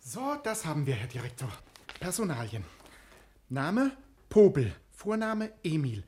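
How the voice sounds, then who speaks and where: An actor playing a dutiful prison officer with a clipped, officious and obedient manner in a comic drama. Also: male voice, fairly high pitch, sing-song, measured pace, darkish tone, a little hiss or hum. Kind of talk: comic German drama acting.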